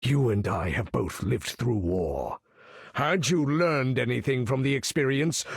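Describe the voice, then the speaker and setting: An aging, proud mutant master for a video game. The voice is gravelly and slow, with theatrical swells and formal, commanding diction. He sounds every bit the imperious leader. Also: medium pitch, no echo, studio quality, exaggerated voice. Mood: stern, proud. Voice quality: gravelly, husky. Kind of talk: video game character voice acting.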